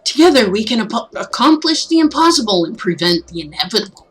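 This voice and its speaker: A woman voicing an intense, visionary male medical researcher, speaking in a deliberate, grandiose way in a visual novel reading. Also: fairly high pitch, sing-song, husky, faint music behind.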